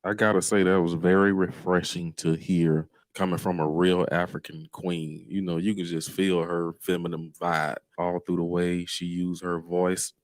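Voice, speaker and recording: A man's voice: low-pitched, flat delivery, normal speed, few pauses, dry recording. A man giving casual commentary in a slangy, assured manner.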